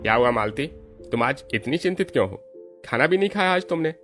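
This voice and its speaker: A man plays a worldly cartoon husband or moneylender. The voice is mid-pitched with a swinging, animated intonation, and music sits faintly behind. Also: fairly quick, lightly acted. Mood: coolly prompting. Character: male supporting figures: scheming husband, demanding moneylender. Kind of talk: Hindi moral cartoon voice acting.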